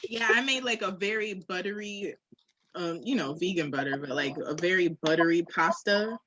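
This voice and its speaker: A woman's voice: lowish voice, normal speed, a bit bright, lo-fi sound, a little hiss or hum. A woman co-hosting a podcast in candid, casual chat, telling things conversationally with little acted asides. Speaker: podcast co-host.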